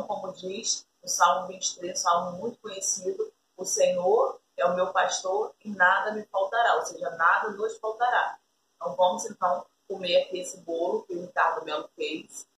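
A woman's voice: fairly low pitch, steady tone, moderate pace, a bit bright. Chatty Brazilian woman vlogging at home. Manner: chatty, keeps calling viewers "família". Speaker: family vlogger. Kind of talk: casual home vlog narration. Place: home kitchen and dining room. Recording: noisy home phone recording.

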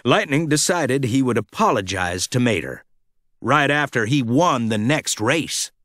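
A man narrating a scripted children's read-along storybook with a dramatic, expressive delivery. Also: mid-range voice, unhurried, no echo, studio quality.

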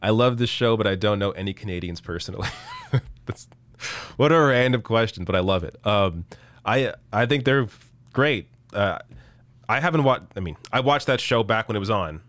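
A man in his thirties hosting a live political stream, reading chat and answering in a casual, halting way while losing his voice. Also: on the low side, animated, a bit bright, no echo. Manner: casual, halting, many restarts. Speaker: political show host. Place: small dark room, indoors. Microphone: studio mic on arm in front.

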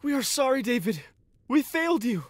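A man doing high, tearful pleading servants. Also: fairly even intonation, a little fast, a little mellow, dry recording, lightly acted. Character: shamed, frightened male servants pleading to their king.

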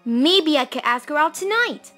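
A high, boyish voice for an earnest teenage fox in a cartoon audio drama, performed by a woman. The delivery is emotional and touchy, with music underneath. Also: expressive, moderate pace, bright, forceful tone. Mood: wistful. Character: fifteen-year-old boy cartoon fox, earnest and touchy. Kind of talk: fan audio drama acting.

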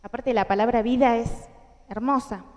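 A woman's voice: fairly high pitch, fairly even intonation, normal speed, darkish tone. A young woman with an Argentine accent giving an earnest talk to a large audience, with many fillers and restarts. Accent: Argentine Spanish. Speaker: conference speaker. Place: large indoor hall with audience. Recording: hall reverb.

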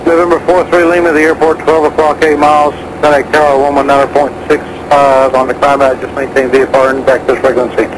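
A man's voice: mid-range voice, flat delivery, quick pace, pauses often, background noise. A man working as an approach controller, giving clipped, businesslike instructions over aviation radio. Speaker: air traffic approach controller. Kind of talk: air traffic radio instructions.

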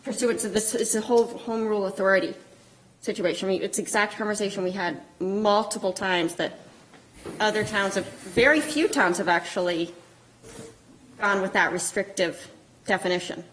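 A woman's voice: medium pitch, normal speed, fairly bright tone, slight background noise, low-quality recording. A woman speaking as a board member at a public meeting, pressing her point insistently and pushing back, picked up by a room camera across the meeting room. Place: town meeting room, indoors.

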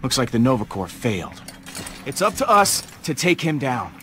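A man's voice: medium pitch, sing-song, normal speed, bright, forceful tone, slight background noise. A man playing a cocky, laid-back young space outlaw leader in a video game, flippant and wry. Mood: musing.

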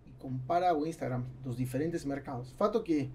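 A man in his forties, a native Spanish speaker with a South American accent, talking haltingly as a guest in an interview. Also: mid-range voice, mellow, a little hiss or hum. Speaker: business owner, podcast guest. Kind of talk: casual interview chat. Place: small foam-treated podcast studio. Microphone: podcast mic on boom arm.

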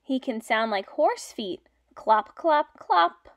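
A young woman teaching and reading aloud to small children in simple, deliberate phrases. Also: high voice, animated. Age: young adult. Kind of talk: children's read-aloud lesson.

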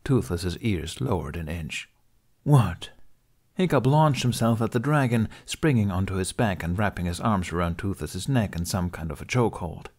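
A man's voice: deep voice, expressive, moderate pace, hardly any pauses. A man narrating a scripted story as an audiobook reader.

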